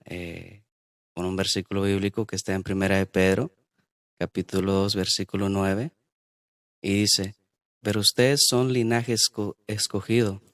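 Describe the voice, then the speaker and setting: A man with a very low, smooth, resonant voice hosts a church podcast. He reads scripture and talks hesitantly, filling gaps with long pauses. The sound is close and dry. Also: fairly bright tone, no echo. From Guatemala. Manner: hesitant, many drawn-out filler pauses. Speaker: podcast host. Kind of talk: church podcast hosting, reading scripture aloud.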